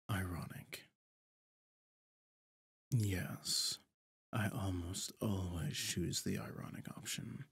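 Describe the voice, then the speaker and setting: A man playing a smug, possessive demon deal-maker in an ASMR roleplay, husky and breathy, often near a whisper, theatrical and taunting. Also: low-pitched, a bit bright, no echo, clean studio sound, exaggerated voice. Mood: smug amusement. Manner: theatrical, taunting, slow and deliberate. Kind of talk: ASMR roleplay audio drama.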